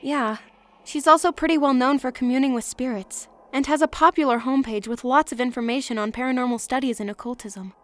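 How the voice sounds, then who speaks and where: A woman's voice: high voice, fairly quick, close to an everyday voice. A woman voicing an earnest, emotional teenage schoolgirl in an anime-style game dub.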